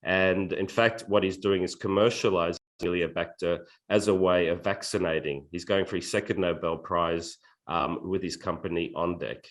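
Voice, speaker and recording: Deep-voiced man giving an academic talk. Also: fairly even intonation. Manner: measured, anecdotal, with frequent fillers. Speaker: neurology professor giving a lecture.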